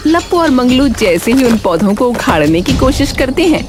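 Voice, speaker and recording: A woman's voice: high-pitched, very animated, normal speed, music in the background. A woman narrator reading a scripted cartoon story.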